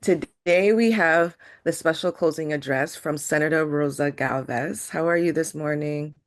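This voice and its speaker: A woman's voice: low voice, normal speed. A woman speaking as an event host, giving a formal welcome and introduction.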